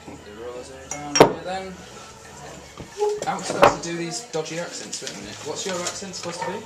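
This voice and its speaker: Chatty, animated party host. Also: medium pitch, a little fast, very noisy. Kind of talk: casual chat. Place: home dinner party. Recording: echoey room, far from mic, loud music.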